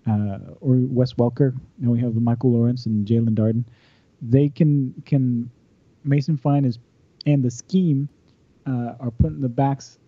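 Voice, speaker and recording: A man from Austin, Texas, in casual sports chat, halting and repeating words as he builds a point. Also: medium pitch, no echo. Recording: dull, dry lo-fi audio.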